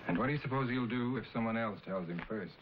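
A middle-aged actor playing a firm, frank priest giving counsel in a 1950s television drama. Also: male voice, mid-range voice, quick pace, close to an everyday voice. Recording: muffled old TV soundtrack, loud music.

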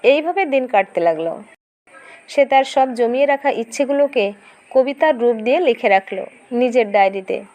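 A woman reading a scripted dramatic story as narrator, in an expressive, emotive storytelling manner. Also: on the high side, moderate pace.

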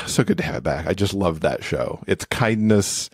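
A man's voice: deep voice, big pitch swings, moderate pace, husky, dry recording, clean studio sound. A man talking casually and enthusiastically as a podcast guest. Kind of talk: casual chat.

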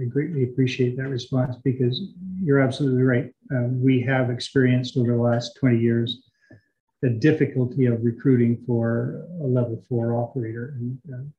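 A man chairing a council meeting as mayor, speaking in a deliberate, courteous and formal way. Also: mid-range voice, dark tone. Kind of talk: formal meeting remarks and questions. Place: large meeting room, indoors. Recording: dull, echoey meeting room audio.

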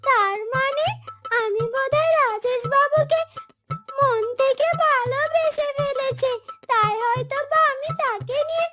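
A woman voicing a naive, lovestruck young woman in a fairytale cartoon, speaking in halting, wondering questions. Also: very high-pitched, music in the background. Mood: dreamy confusion. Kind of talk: Bengali fairytale cartoon acting.

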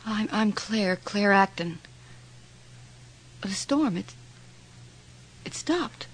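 An actress playing a distraught, blinded young woman in a radio horror drama, halting and bitterly disbelieving. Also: mid-range voice, animated, slight background noise. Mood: dazed, frightened. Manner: halting, breathless stammer turning to bitter sarcasm.

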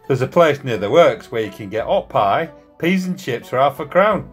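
A pedantic working man acted by a man holds forth plainly in a radio play. His pitch moves about a lot, over faint music. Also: expressive, moderate pace, slightly rough. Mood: matter-of-fact.

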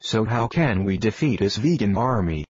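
A man's voice: fairly low pitch, animated, normal speed, husky, no room sound, lo-fi sound. A man voicing a brash young male cartoon hero who talks bluntly and swears casually.

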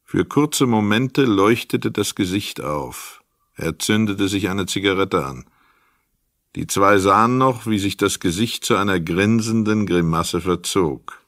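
A man's voice: lowish voice, measured pace, a bit bright, dry recording, studio quality. A man narrating a crime radio play in a scripted, literary storytelling style. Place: dry studio.

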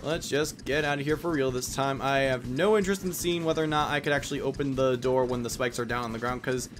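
A man's voice: mid-range voice, fast, a little hiss or hum. A young man giving casual, rambling, self-deprecating commentary as he plays a video game. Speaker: let's play host. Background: video game music.

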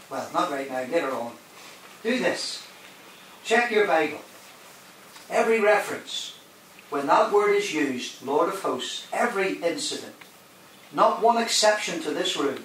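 A preacher with a fairly high voice delivers an emphatic sermon, swinging between loud declarations and quiet asides. He is heard from a distance in a very reverberant hall with a faint hiss underneath. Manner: emphatic, rising and dropping for effect, questions the congregation. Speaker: guest preacher. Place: large hall.

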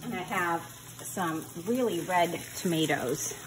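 A middle-aged woman chats her way through a cooking demo, teasing herself as she goes. She is recorded on a phone in her home kitchen. A pan sizzles clearly in the background. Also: lowish voice, slow, a little hiss or hum, rough recording. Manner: chatty, joking, self-teasing. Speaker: home cook vlogger.

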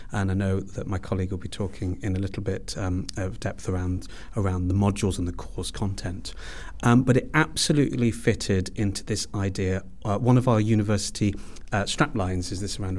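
Lower-pitched male academic interviewee. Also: darkish tone. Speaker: university head of department, interview guest. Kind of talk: explanatory interview answer.

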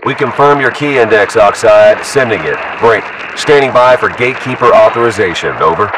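Steady soldier acted by a man on crackly radio. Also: fairly low pitch, normal speed. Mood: businesslike. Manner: procedural, matter-of-fact, terse. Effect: military radio filter. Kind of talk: clipped military radio chatter. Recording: noisy, distant military radio transmission.

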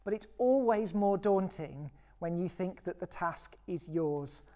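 A British woman vicar giving a recorded sermon in a measured, earnest and encouraging way. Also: on the low side, expressive, warm tone. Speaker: parish vicar preaching.

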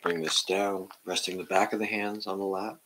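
A middle-aged man calmly guiding a meditation in a gentle, measured way. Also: deep voice, background noise. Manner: calm, measured. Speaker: meditation guide. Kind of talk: gentle guided meditation and reading aloud. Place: indoor room at home, seated on the floor.

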